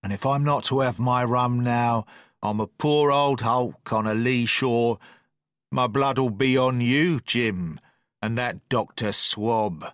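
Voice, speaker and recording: A man voicing an old drunken sea captain, feeble but blustering, who wheedles and talks in rough sailor's slang. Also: medium pitch, slow pace, dry recording, clean studio sound, close to an everyday voice.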